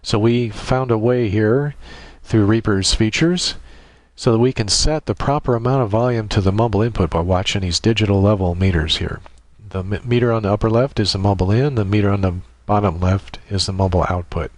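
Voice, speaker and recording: Low-voiced man giving a software tutorial. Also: brisk, no room sound. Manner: methodical, explanatory, thinking aloud while demonstrating. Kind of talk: informal step-by-step screen tutorial narration.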